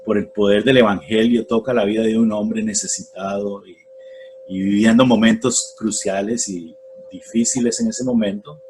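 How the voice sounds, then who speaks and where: A man in his forties from Costa Rica with a Costa Rican accent, giving a reflective, unhurried testimony as a guest on a radio interview, with many pauses and fillers. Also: on the low side, steady tone, darkish tone, slight background noise, poor audio. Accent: Costa Rican Spanish. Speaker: guest, former missionary.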